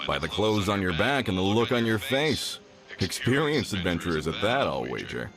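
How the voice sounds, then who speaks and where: A genial, shrewd old mine foreman talks in a knowing, folksy way, with a very lively voice that rises and falls a lot. He is warmly persuasive. Game music plays behind him. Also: sits low, moderate pace. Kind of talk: video game voice acting. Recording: game audio with music underneath.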